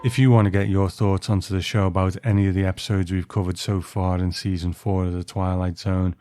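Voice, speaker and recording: A man's voice: sits low, little pitch movement, a little fast, warm tone. A man hosting a podcast, reading out a scripted announcement to listeners. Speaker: podcast host.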